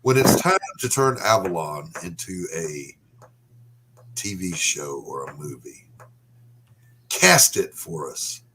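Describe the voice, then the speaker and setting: A male podcast host with a lower voice that swings widely in pitch. He talks casually and with animated, playfully exasperated energy. A faint hiss sits under his voice. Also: slow, pauses often, low-quality recording. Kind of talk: casual chat.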